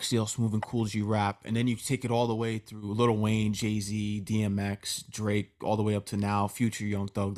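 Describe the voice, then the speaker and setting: A man interviewing a rapper, asking long, rambling conversational questions. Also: lowish voice.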